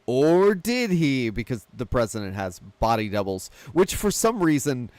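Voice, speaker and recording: A man hosts a relaxed film chat in a mid-pitched voice, recorded very dry. He recaps plots conversationally and drops in wry, self-deprecating asides. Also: moderate pace, no room sound. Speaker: podcast host. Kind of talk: casual film discussion, recapping a plot.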